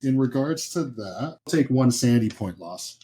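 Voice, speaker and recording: Guy game mastering a horror rpg, bit husky, chatty, explains rules as he goes. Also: mid-range voice, lively intonation, moderate pace, warm tone. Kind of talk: improvised narration of a horror tabletop game.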